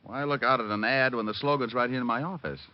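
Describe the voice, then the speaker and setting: An actor playing a glib, flirtatious private detective who quips deadpan, in 1940s radio style. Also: male voice, mid-range voice, fast talker, natural voice. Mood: playful, flirty. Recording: dull, slightly noisy old radio recording.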